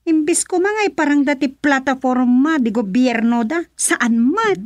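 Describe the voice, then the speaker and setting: Outspoken grown daughter for Ilocano radio drama woman actor: emphatic, colloquial, higher register. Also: expressive, a little slow, no echo. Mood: indignant. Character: outspoken grown daughter talking with her mother.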